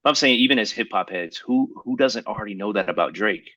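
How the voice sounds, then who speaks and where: A man co-hosting a podcast in casual hip-hop debate, slangy, building his points haltingly and repeating phrases as he goes. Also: fairly low pitch, pushed and bright, no room sound. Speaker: podcast co-host.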